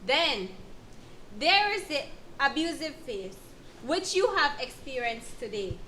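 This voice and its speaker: Woman acting as firm supportive counsellor, slow emphatic delivery, bright voice, background noise, educational drama. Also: high-pitched, big pitch swings, heavily acted. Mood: firm, reassuring. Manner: deliberate, emphatic, instructive. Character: female counsellor, firm, supportive, explaining abuse to victim.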